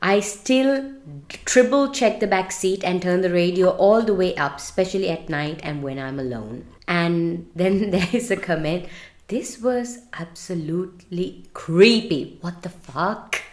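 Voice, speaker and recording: A woman's voice: fairly low pitch, measured pace, a little mellow. A woman podcast co-host, chatting casually and reading stories aloud in an informal, sweary, conversational way. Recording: roomy, echoey sound.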